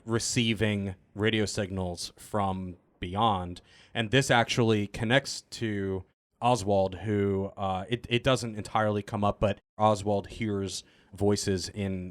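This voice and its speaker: Man, lowish voice, dry close recording, informal, thinking out loud, cast member answering questions. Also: no room sound. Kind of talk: casual Q&A chat.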